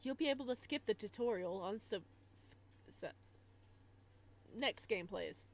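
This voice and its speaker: A woman's voice: mid-range voice, expressive, normal speed. A woman live-streaming a game in a casual style, reading on-screen text aloud and adding chatty asides.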